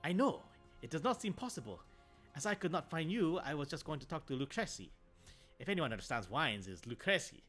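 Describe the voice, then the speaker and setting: A man doing a put-on Italian accent to voice a proud, vengeful nobleman, narrating with measured theatrical delivery. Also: fairly high pitch, big pitch swings, fairly bright tone, soft background music, voice pushed up. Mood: sly. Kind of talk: dramatic reading aloud.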